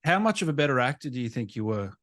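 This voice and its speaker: A man's voice: medium pitch, big pitch swings, quick pace, a bit bright. A man hosting a casual interview, putting in short, agreeable questions and prompts. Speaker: interviewer, gaming show host.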